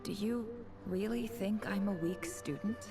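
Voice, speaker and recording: A woman playing a guarded, sharp-minded young female student in a video game cutscene, speaking in a measured, reserved way. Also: mid-range voice, close to an everyday voice. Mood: polite, probing. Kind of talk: scripted game cutscene acting. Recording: background music behind dialogue.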